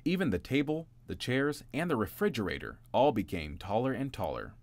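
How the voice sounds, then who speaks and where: A man reading a bedtime story aloud in a gentle, expressive storytelling manner. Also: mid-range voice, fairly quick. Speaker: narrator.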